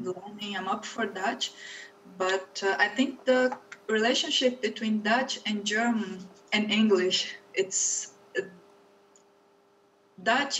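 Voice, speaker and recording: Chatty woman, off-mic. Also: mid-range voice. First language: Portuguese. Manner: chatty, laughs often, many restarts. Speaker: guest. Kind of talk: casual conversation. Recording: distant mic, faint background noise.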